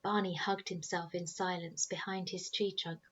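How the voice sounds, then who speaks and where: A woman reading a children's story aloud in an expressive storytelling style, like a teacher reading to pupils. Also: fairly low pitch, moderate pace, lo-fi sound.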